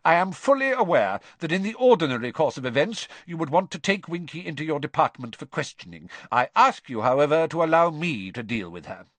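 A man's voice: on the high side, big pitch swings, a little fast, few pauses, fairly bright tone, no echo, voice pushed up. A man voicing a stern, coldly furious senior ministry wizard in a storybook reading, clipped, imperious and formal. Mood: curt.

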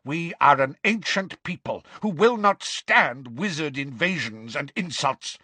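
Man voicing a furious, haughty centaur, raised pitch. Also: expressive, unhurried, bright, forceful tone, slightly rough, no room sound, exaggerated voice. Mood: proud fury. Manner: bellowing, haughty. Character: proud, enraged grey male centaur, hard and contemptuous.

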